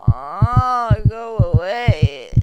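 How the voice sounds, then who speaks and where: A young man with a Finnish accent, a native Finnish speaker, commenting on a horror game through a headset, talking haltingly with many restarts and yelping when startled. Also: sits high, very animated, lo-fi sound, noisy background. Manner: startled yelps and shouting when scared. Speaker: gameplay commentator. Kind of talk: let's play commentary. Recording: loud game music behind the voice.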